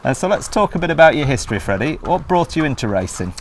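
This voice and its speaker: A man's voice: fairly high pitch, big pitch swings, fast talker, a little hiss or hum. A man conducting a casual, chatty on-location interview about horse racing. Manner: chatty, frequent yeah and mm-hmm acknowledgements.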